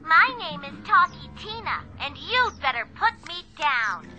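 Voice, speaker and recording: A woman's voice: high-pitched, sing-song, a little slow, sharp tone, exaggerated voice. A woman playing a menacing talking girl doll in an American radio drama, with a sing-song childlike toy voice and sweet threats. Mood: sweetly menacing. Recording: music underneath.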